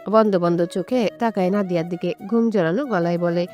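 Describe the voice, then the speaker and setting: A woman's voice: lowish voice, a little fast, a little mellow, highs cut off. A woman reading a scripted romantic story as an audio story narrator. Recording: music under the voice. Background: background music.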